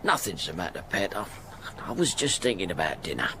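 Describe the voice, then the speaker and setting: Rambling poor old errand porter. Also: male voice, low voice, big pitch swings, brisk, sharp tone, a little hiss or hum, full character voice. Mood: tender, surprised. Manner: rambling, folksy, ungrammatical working-class speech. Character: poor, humble ageing errand porter, devoted father. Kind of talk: radio drama acting, first-person monologue.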